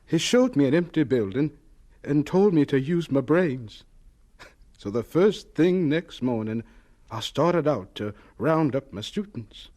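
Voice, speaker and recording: An actor playing an earnest, folksy, good-humored agriculture professor in a radio drama. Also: male voice, fairly high pitch, expressive, a little slow, warm tone. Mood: wry, reflective.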